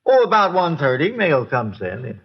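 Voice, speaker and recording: A man's voice: on the high side, normal speed, gravelly, slightly rough, full character voice. An actor playing a folksy, boozy older American man who rambles and repeats himself in an old radio drama. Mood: agitated, rambling. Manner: rambling, repetitive, folksy, excitable. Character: rambling, boozy, folksy male neighbor witness. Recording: dull, lo-fi old radio recording.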